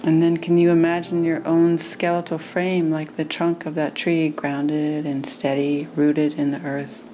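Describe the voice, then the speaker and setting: A woman meditation teacher leading a guided meditation in a soothing manner, with gentle pauses between phrases. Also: deep voice, flat delivery, a little hiss or hum, poor audio.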